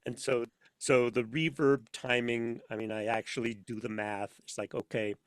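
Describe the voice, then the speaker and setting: A man chatting casually as an interview guest, telling anecdotes in an animated way and acting out bits of dialogue. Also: medium pitch, normal speed, smooth, dry recording, studio quality. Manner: animated storyteller, acts out imagined dialogue. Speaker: podcast guest, sound designer for audio dramas. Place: inside a studio.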